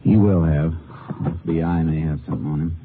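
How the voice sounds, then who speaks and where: A man acting as a stern, steady American Texas Ranger in a radio drama, narrating his case and speaking in terse, authoritative lines. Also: rumbling low, a little fast, background noise. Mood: matter-of-fact. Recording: muffled vintage radio broadcast with music.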